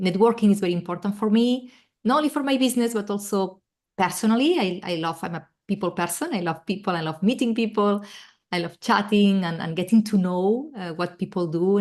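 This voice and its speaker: A middle-aged woman from Spain with a Spanish accent, talking earnestly as a guest on a video call with many fillers and restarts. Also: mid-range voice. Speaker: guest, travel coach. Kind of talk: casual interview chat.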